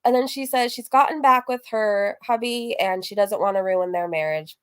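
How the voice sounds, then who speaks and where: A woman's voice: mid-range voice, fairly even intonation, moderate pace, clear, resonant tone. A woman hosting a casual reaction livestream, chatty and gossipy, repeating phrases for emphasis as she comments and reads out messages. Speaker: online reaction channel host.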